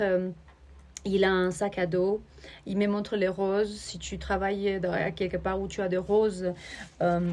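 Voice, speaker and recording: Woman doing an oracle card reading to camera, average pitch, halting and searching for words. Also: darkish tone. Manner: searching, halting, speaks straight to the viewer.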